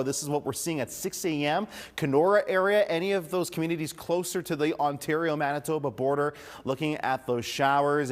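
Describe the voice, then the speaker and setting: A male weather and traffic presenter with a somewhat high-pitched voice. He delivers a semi-scripted forecast in morning broadcast style.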